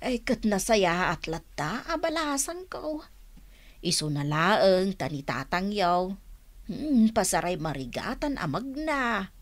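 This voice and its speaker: Lively elderly Ilocano mother. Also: medium pitch, slow pace. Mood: grateful, mild. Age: older. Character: fussy elderly mother-in-law, frank and talkative. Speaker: radio drama actor. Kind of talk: Ilocano radio comedy drama acting.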